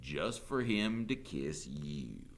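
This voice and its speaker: A man voicing a lecherous, drunken small-town sheriff in an audiobook, with a slow drawl. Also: extremely low pitch, very animated, pitched below the performer's own voice, full character voice. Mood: smug, menacing.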